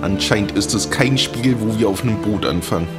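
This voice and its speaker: A man's voice: lowish voice, a little fast. A man from Germany hosting a casual let's play, chatting and joking as he reads out menus and reacts to the game. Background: game music.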